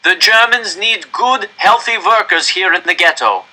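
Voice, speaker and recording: A man voicing a desperate male council director in a story, addressing an angry crowd with loud, pleading oratory. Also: high voice, moderate pace, voice pushed up, full character voice. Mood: grave, insistent.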